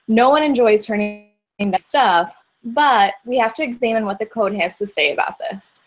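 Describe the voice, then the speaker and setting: A young woman from Indiana moderating an informal debate, reading a prepared introduction in a steady, organized way. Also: a little high, normal speed, rough recording. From Indiana, USA.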